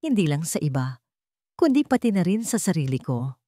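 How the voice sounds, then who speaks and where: A woman voicing an earnest, tender young man in love, speaking gently in a storybook romance. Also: lowish voice, sing-song, moderate pace, no echo, clean studio sound.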